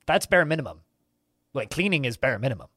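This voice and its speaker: Male podcast host, very lively pitch swings, dry mock-serious teasing, casual banter, close-sounding recording. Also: a little fast, slightly rough, dry recording.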